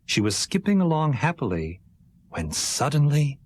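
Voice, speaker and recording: A man with a husky voice narrating a scripted children's story in a rhythmic way. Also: on the high side, very animated, moderate pace, slight background noise. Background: faint music.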